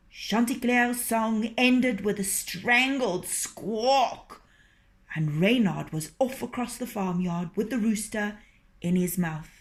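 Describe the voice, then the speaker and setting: Slow, expressive woman storyteller. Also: a little high. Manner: expressive, unhurried. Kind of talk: reading a children's story aloud.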